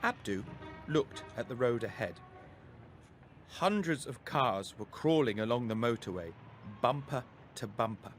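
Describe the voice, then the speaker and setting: A man narrating a scripted children's story as a storyteller, expressive and deliberate, with faint vehicle noise behind him. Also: mid-range voice.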